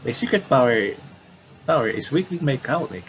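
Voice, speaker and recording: A man's voice: medium pitch, very animated, normal speed, fairly bright tone, slight background noise. A man doing casual game commentary, reading visual novel dialogue aloud and often stumbling and repeating phrases.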